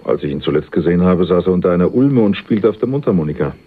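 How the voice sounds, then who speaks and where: An actor playing a sly, cynical go-between with a wry, teasing way of talking in a German radio crime drama. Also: male voice, deep voice, animated, fast talker, slightly rough. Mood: wry, flirtatious. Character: cynical, sly male go-between, cheekily flirtatious. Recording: muffled, phone-like 1980s radio recording.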